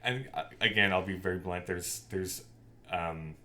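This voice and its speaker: A man's voice: sits low, normal speed, sharp tone. A man in a casual conversational discussion, speaking in an emphatic, opinionated and blunt way as a podcast co-host. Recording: clearly noisy background ambience.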